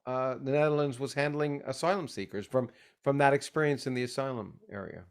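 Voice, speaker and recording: Man in his 60s, podcast host, halting stop-start questions, average pitch. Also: clear, resonant tone. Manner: halting, many restarts and fillers. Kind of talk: interview conversation.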